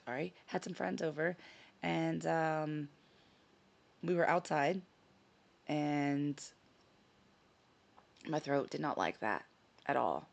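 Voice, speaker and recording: A woman hosting her own vlog in casual chat, a little hoarse from a scratchy throat, talking with frequent ums and self-corrections. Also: deep voice, slow, pauses often, dull audio. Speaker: channel host. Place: her home in Sicily.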